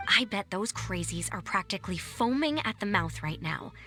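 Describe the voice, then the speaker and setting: A woman's voice: mid-range voice, expressive, moderate pace, pushed and bright, soft background music. A woman voicing an aloof, sardonic young woman in a video game, dry and dismissive.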